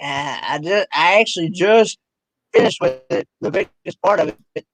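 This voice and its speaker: A man joining a live stream as a guest, chatting casually and slowly greeting viewers one by one. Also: high voice, very animated, noisy background. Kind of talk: casual chat. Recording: dull dry lo-fi remote audio.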